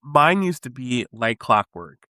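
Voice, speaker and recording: A man co-hosting a podcast in casual chat, husky from a head cold, laid-back and rambling. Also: mid-range voice, lively intonation, unhurried, bright, forceful tone, dry recording, clean studio sound. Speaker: podcast co-host.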